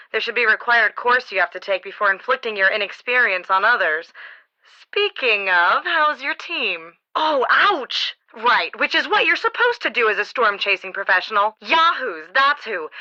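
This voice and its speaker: An actress playing a sharp-tongued, sarcastic storm-chaser rival in a video game, heard over a radio. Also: female voice, a little high, big pitch swings, normal speed, dull audio, exaggerated voice. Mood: scornful. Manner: sarcastic, scornful. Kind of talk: video game character dialogue.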